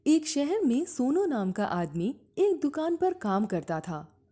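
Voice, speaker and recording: High, lively woman telling a story. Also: takes it slowly. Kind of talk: scripted moral-story narration.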